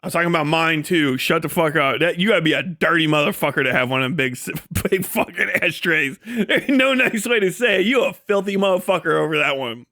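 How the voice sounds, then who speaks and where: A man live streaming, rambling comedically and casually to his chat with lots of swearing, folksy slang and animated, exaggerated storytelling. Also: on the high side, fairly quick, few pauses, dry recording, studio quality. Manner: profane, folksy slangy phrasing.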